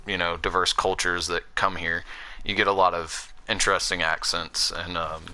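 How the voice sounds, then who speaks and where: A man's voice: low voice, level tone, normal speed, bright, forceful tone. A young American man from the South with a mixed Southern and Northern accent, chatting casually and rambling while he streams a game. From Southern United States. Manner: rambling, many fillers and restarts. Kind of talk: casual chat while gaming.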